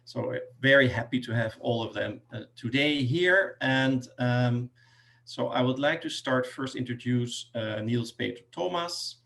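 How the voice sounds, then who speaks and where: A man's voice: mid-range voice, unhurried, vocal fry, fairly bright tone. A man moderating an online panel, introducing guests with frequent fillers. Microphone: video call.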